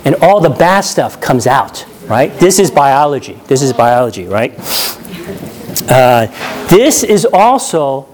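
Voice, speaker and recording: A man's voice: medium pitch, very animated, slow pace, darkish tone. A man from New York preaching a conversational sermon, often checking in with his listeners and laughing at his own stories. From New York, USA. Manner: chatty, often checks in with "right?".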